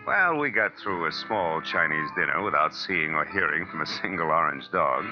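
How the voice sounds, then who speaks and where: An actor playing a wry, world-weary American private detective, narrating in a 1940s radio drama style. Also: male voice, a bass voice, animated, normal speed. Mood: wry, rueful. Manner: hard-boiled, wry, slangy. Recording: muffled vintage radio recording, loud music.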